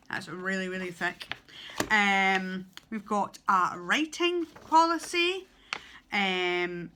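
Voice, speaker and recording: A woman's voice: medium pitch, sharp tone. A young Scottish woman, a student teacher, giving casual advice in a vlog with lots of ums and restarts. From Scotland. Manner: chatty, hesitant, many ums and restarts.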